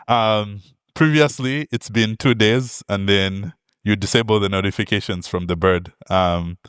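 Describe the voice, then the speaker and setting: A man's voice: fairly low pitch, a little slow, fairly bright tone, clean tone, no echo, studio quality. A young man from Zambia giving a technical conference talk, friendly, with many ums and uhs and light jokes. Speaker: conference speaker, product manager. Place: conference hall.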